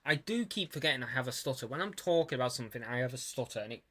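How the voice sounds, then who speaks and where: Young male student filmmaker; bright, forward tone; halting, stuttery casual commentary. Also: mid-range voice, expressive, breathy edge. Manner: stutters, restarts, swears casually. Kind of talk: casual commentary on his own film.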